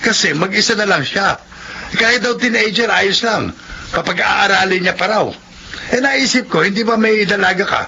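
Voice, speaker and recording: A man's voice: high voice, normal speed, vocal fry, pushed and bright, full character voice. A man with a Filipino accent playing a jovial, insistent drinking buddy in a radio drama, coaxing his friend. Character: jovial, pushy male drinking buddy hosting his birthday. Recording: dull lo-fi audio, faint noise.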